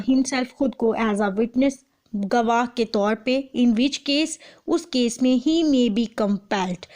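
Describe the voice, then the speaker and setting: A woman's voice: fairly high pitch, darkish tone. A woman with a Pakistani accent lecturing in an instructional way, explaining step by step and restating each point. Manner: instructional, repeats and rephrases points. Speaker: law lecturer. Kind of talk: explanatory lecture, reading clauses and translating them phrase by phrase.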